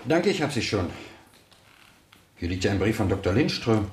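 An actor playing a worried, insistent husband in a German radio crime play, with clipped, pressing questions. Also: male voice, on the low side, very animated, normal speed, roomy sound, slight background noise. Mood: suspicious, brisk.

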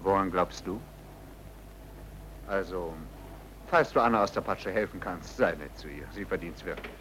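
An actor playing a suave, smugly amoral racketeer in a vintage radio play, with a nonchalant, theatrical delivery. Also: male voice, deep voice, normal speed, a bit bright, a little hiss or hum, low-quality recording. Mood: breezy, philosophizing. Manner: theatrical, nonchalant, swinging from muttering to declaiming.

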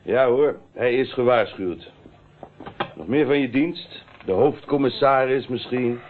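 An actor playing a brusque, sceptical police detective in a radio drama, dry and sarcastic, on an old, noisy recording. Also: male voice, mid-range voice, unhurried, phone-quality sound, close to an everyday voice. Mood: sardonic.

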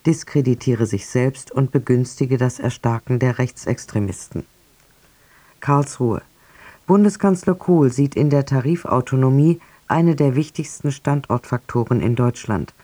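A man reading the radio news in a measured, formal manner. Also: medium pitch, dark tone, dry recording. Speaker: newsreader.

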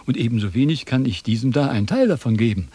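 An actor playing a self-important philosopher in a radio drama, pompous, formal and easily indignant. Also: male voice, mid-range voice, expressive, fast, warm tone, slightly rough, no room sound. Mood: haughty, pompous. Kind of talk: German radio drama acting.

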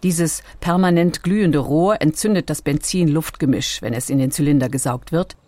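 A woman reading a scripted documentary narration with measured, dramatically phrased storytelling. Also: low-pitched, mellow, no room sound. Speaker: audiobook narrator.

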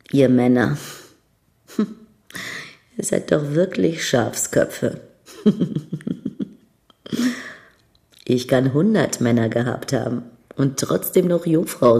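An actress playing a frank, cheeky young bride-to-be in a radio crime drama, telling things candidly and languidly. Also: female voice, rumbling low, slow, gravelly, long pauses, exaggerated voice. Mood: teasing, languid.

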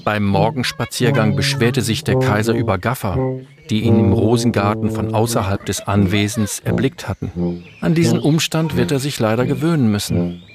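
An actor as a lowish-voiced, dry court aide narrating. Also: male voice, moderate pace, over loud music, close to an everyday voice. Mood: matter-of-fact. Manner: dry, understated, polite. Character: dry, sober, loyal but disapproving court aide. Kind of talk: scripted diary narration in a radio play.

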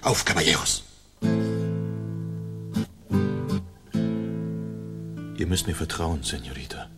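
An actor playing a bold, gallant masked outlaw in a German radio play, speaking in a commanding, courtly way. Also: male voice, very deep voice, a little fast, loud music behind the voice. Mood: urgent, noble.

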